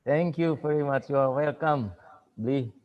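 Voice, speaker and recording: A man's voice: medium pitch, unhurried, muffled recording. A man, moderating a webinar with polite, informal phrasing.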